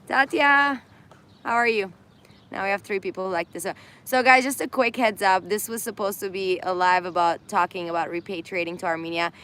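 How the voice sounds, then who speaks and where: A woman's voice: mid-range voice, normal speed, bright, forceful tone. A woman hosting a casual live stream, laughing often and losing her voice. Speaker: host of an Instagram live. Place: indoors in Armenia.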